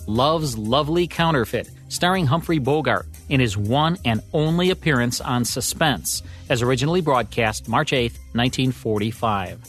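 American man, husky voice, medium pitch, scripted co-host narration, light music behind. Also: expressive, moderate pace, hardly any pauses. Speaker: podcast co-host.

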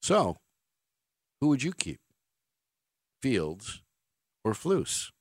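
A man hosts sports talk radio, his delivery blunt and sarcastic. His pitch swings widely as he rants. The studio mic sounds close and dry. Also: huge pitch swings, drawn-out words, dry recording. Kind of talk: opinionated sports radio monologue. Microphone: studio microphone.

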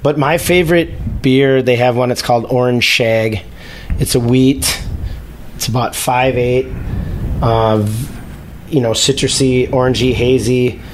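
A man's voice: medium pitch, steady tone, a little slow, a little hiss or hum, low-quality recording. A man from Minnesota, a guest on a casual podcast, easygoing with a dry sense of humor. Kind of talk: casual chat.

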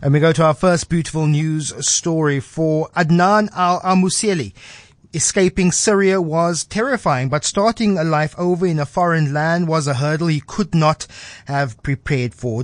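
A man's voice: on the high side, dry recording. A man hosting a radio show, reading short scripted feature introductions with the odd slip and filler. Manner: reads scripted intros with small slips and fillers.

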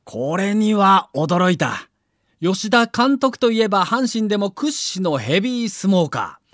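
A man's voice: high voice, sing-song, unhurried, fairly bright tone, dry recording. A man reading a scripted documentary narration with a theatrical storyteller's delivery.

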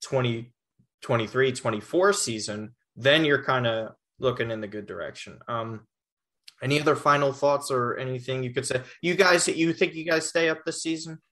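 A man's voice: mid-range voice, normal speed, sharp tone. A man in his twenties hosting a casual sports podcast, talking loosely with frequent restarts. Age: 20s. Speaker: podcast host.

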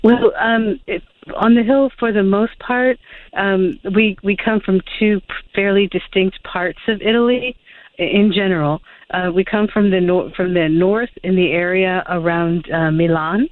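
A woman's voice: medium pitch, steady tone, a little slow, no echo. A woman author talking as a radio guest over the phone, hesitant, with many fillers and restarts. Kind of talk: phone interview chat. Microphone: telephone. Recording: tinny phone line.

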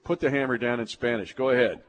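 A man's voice: mid-range voice, fast talker. American man hosting live sports talk. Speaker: sports show host.

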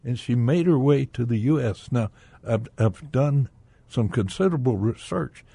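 Radio guest: gravelly, husky man, dry close mic. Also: medium pitch, expressive, normal speed, warm tone, no echo. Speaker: guest, bank director. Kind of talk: radio interview.

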